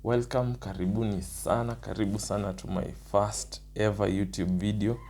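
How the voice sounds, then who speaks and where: A young man with a Kenyan accent, his voice rough from the early morning, talking through his points one by one in a casual vlog. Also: on the low side, little pitch movement, moderate pace, very noisy. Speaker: nursing student.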